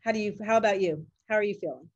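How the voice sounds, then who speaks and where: A woman's voice: medium pitch, racing, darkish tone, dull audio, a little hiss or hum. An older woman answering interview questions casually, in simple encouraging words meant for children. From Puerto Rico or Puerto Rican family. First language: Spanish and English. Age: older, a grandmother. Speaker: interviewed doctor.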